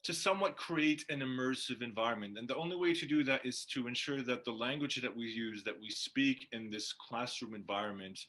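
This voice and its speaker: A man co-hosting a language-learning show as the teacher, explaining things in a measured, halting, semi-formal way. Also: mid-range voice, pushed and bright. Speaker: co-host and Turkish teacher.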